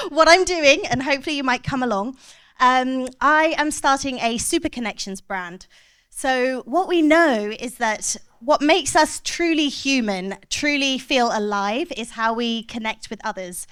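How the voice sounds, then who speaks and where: A woman's voice: high-pitched, fairly bright tone, smooth. A woman, a clinical psychologist giving a chatty, self-mocking short talk to an audience.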